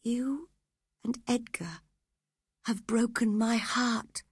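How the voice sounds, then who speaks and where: Woman playing a dying young woman, tearful, passionate, breathy whisper, dry sound. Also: on the high side, slow pace, dry recording, voice pushed up. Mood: tender pleading. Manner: breathy, pleading, often whispered. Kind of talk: audiobook character reading.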